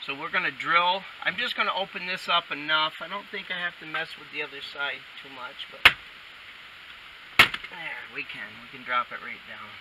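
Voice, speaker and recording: Male DIY demonstrator, casual and unhurried, recorded off-mic in a noisy truck cab. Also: on the high side. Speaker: DIY installer demonstrating a how-to. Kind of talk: casual hands-on tutorial talk. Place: inside a pickup truck cab. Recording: distant mic, loud vehicle background noise.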